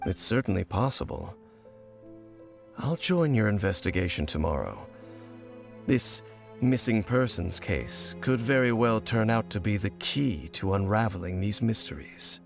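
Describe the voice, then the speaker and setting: A grave, solemn game wanderer. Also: male voice, fairly low pitch, big pitch swings, gravelly, faint music behind, heavily acted. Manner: solemn, measured, formal. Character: grave, mysterious male wanderer, guarded and solemn.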